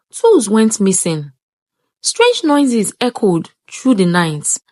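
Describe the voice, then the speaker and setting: A woman's voice: on the high side, dry recording. A woman narrating a scripted folktale in a suspenseful, theatrical manner. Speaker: storyteller.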